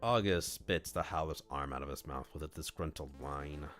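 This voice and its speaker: A man reading a visual novel aloud on a stream, mixing expressive narration with casual commentary. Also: rumbling low, moderate pace. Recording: music under the voice. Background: background music.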